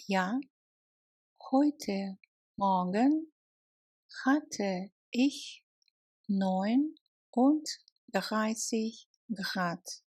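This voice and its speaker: A woman narrating a language lesson, reading short scripted phrases deliberately and separating the words for learners. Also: medium pitch, a little mellow, husky, no echo, studio quality.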